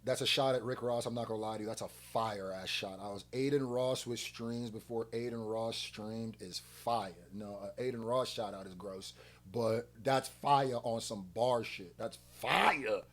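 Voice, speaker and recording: A man giving casual, blunt and animated commentary as a music reaction host, full of slang and rhetorical questions. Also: medium pitch, normal speed, background noise.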